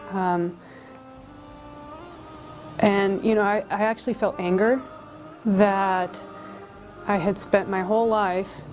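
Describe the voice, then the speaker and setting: An American woman recounts her experience conversationally, with very little rise and fall in her voice. Music runs clearly underneath. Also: mid-range voice, fairly even intonation, takes it slowly, long pauses, darkish tone, low-quality recording. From Colorado, United States. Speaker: interviewee telling a conversion story. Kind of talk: casual personal storytelling. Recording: music playing behind the voice.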